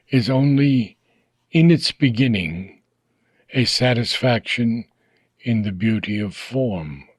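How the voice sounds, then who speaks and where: A man reading a philosophical text aloud in slow, deliberate phrases, often trailing off softly at the ends of lines. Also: lowish voice, husky, no room sound. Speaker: reader.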